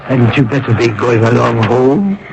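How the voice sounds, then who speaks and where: An actor playing a kindly, grave wartime priest in a radio drama, speaking in a measured, gentle, formal way on an old broadcast recording. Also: male voice, medium pitch, lively intonation, muffled recording, heavy background noise, natural voice. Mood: gentle, concerned.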